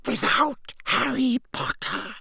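A man voicing a cold, menacing, frail dark lord in an audiobook, with a breathy, hissing delivery and a slow, imperious, contemptuous manner. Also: high voice, lively intonation, dry recording, clean studio sound, voice pushed up. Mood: quietly menacing. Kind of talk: audiobook character acting.

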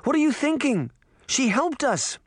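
A man's voice: very high-pitched, huge pitch swings, slow, no room sound, studio quality, pitched above the performer's own voice, full character voice. A man voicing an alarmed young girl in a storybook, urgent, questioning and pleading.

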